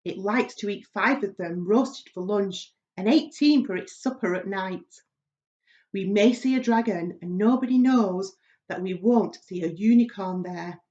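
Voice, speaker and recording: A woman's voice: mid-range voice, unhurried, warm tone, close to an everyday voice. A grinning, boastful storybook centipede, acted by a woman reader. He is exuberant and theatrical, with a rollicking delivery on a slightly dull recording. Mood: gleeful, dramatic.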